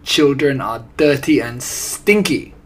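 A man's voice: mid-range voice, unhurried, husky. A man voicing a tyrannical, ranting female head witch in an audiobook, imperious and thundering, with broken foreign-sounding grammar. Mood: disgusted, screaming. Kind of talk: audiobook character voice.

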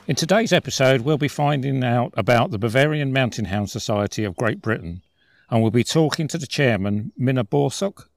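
A man's voice: mid-range voice, lively intonation, fairly quick, vocal fry, no room sound, soft background music. A man hosting a podcast interview outdoors in a forest, introducing his guest in a friendly, conversational way. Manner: friendly, conversational, some filler hedges. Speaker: podcast host.

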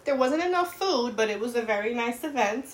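A woman's voice: fairly high pitch, expressive, fairly quick. A woman co-hosting a podcast in casual chat, sassy and teasing. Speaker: podcast co-host.

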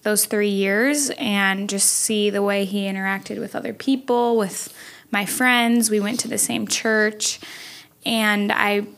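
A young woman talking thoughtfully and softly in a casual interview, pausing on fillers as she recalls things. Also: medium pitch, level tone, gravelly. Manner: thoughtful, soft-spoken, with fillers.

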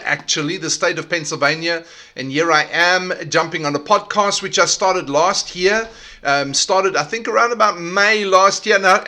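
A middle-aged South African man hosts his own leadership podcast alone. His voice sits high and bright. He talks with energy and emphasis and often repeats or restarts his phrases. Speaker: podcast host. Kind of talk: solo leadership podcast talk.

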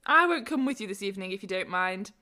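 Woman, matter-of-fact, lively intonation, lesson dialogue reader. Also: medium pitch, quick pace.

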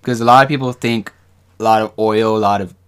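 Low-voiced man, halting and rambling. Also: steady tone, fairly bright tone, clean tone. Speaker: podcast co-host. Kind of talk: casual discussion with some reading aloud from notes.

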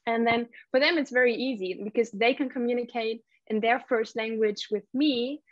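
Woman guest, slightly high voice, hesitant, dull lo-fi audio. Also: normal speed, no room sound. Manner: thoughtful, hesitant with many ums. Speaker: interview guest. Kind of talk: casual interview chat.

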